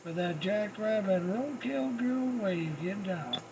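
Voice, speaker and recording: A man with a high-pitched voice makes CB radio calls in folksy slang, repeating his call-outs. The recording is very noisy, with a loud vehicle-like hum behind him. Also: animated, slow pace. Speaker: radio operator making calls to other stations. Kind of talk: CB radio calling chatter.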